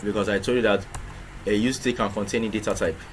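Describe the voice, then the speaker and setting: A man's voice: lowish voice, steady tone, quick pace. A man teaching programming in a screen-recorded tutorial, explaining step by step with frequent restarts and rhetorical questions. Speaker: programming instructor. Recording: clear background noise with keyboard typing.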